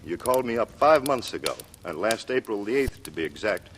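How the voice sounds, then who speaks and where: An actor playing a hearty, big-brotherly police detective with an American accent, bantering emphatically in a 1940s radio drama. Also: male voice, fairly low pitch, big pitch swings, fairly quick. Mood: hearty, teasing. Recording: noisy vintage radio recording.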